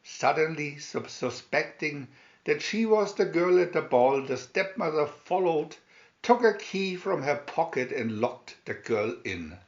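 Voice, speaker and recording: Male narrator for children's fairy tale: unhurried read-aloud, slightly high voice, echoey home recording. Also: lively intonation, muffled recording. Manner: deliberate read-aloud delivery. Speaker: storybook narrator.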